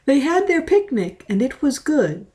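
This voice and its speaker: A woman narrating a scripted story as an amateur reader in a deadpan manner. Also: sits high, a little slow.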